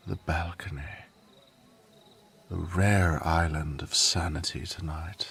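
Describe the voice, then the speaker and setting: A man's voice: deep voice, steady tone, slow, vocal fry, fairly bright tone, a little hiss or hum, heavily acted. A man playing a loyal veteran courtier in a fantasy audio drama, courtly and theatrical, with dry, teasing wit. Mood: dry, wry. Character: loyal, silver-tongued veteran male courtier, dryly witty.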